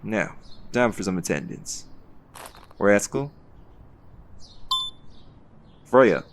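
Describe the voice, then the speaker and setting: A very low-voiced commander played by a man speaks with calm, folksy authority. He sounds firm and in charge, an audio drama leader of magical hunters, over a noticeable bed of background noise. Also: takes it slowly. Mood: commanding. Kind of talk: audio roleplay acting.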